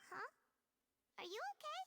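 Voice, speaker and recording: Squeaky, very high little fairy. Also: female voice, lively intonation, moderate pace, gravelly, heavy background noise, exaggerated voice. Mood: concerned. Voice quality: squeaky, childlike. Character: tiny, squeaky, excitable childlike fairy companion. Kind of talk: anime game voice acting.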